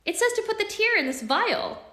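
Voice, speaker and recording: A woman's voice: very high-pitched, moderate pace, fairly bright tone, echoey room, pitched above the performer's own voice. A woman voicing a kind, eager young girl in a storybook reading. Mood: earnest.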